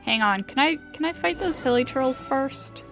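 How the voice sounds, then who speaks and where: A woman streams a game with casual, offhand commentary. Her voice is a little high, and game music plays clearly behind her. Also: lively intonation, normal speed, a bit bright.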